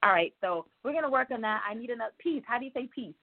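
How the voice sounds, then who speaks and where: A woman's voice: fairly high pitch, lively intonation, fast. A woman hosting a casual interview, chatty and playful, laughing often as she asks her questions. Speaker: interview host. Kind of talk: casual interview over a call. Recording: phone-like narrow sound.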